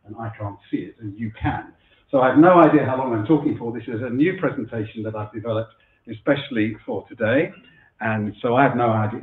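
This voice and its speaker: A middle-aged man with a husky voice from a cold, giving a chatty conference keynote in a lecture room, with many fillers and restarts. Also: medium pitch. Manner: chatty, self-deprecating, frequent fillers and restarts. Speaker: keynote speaker. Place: lecture room before a live audience. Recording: echoey room over a phone-like line.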